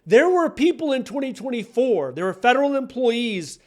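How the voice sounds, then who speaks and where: American guy in his 40s, pretty high voice, emphatic opinion talk, hammers points by repeating them. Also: sing-song, moderate pace. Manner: emphatic, repeats key phrases for effect. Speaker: online career coach and commentator. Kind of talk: direct-to-camera opinion commentary.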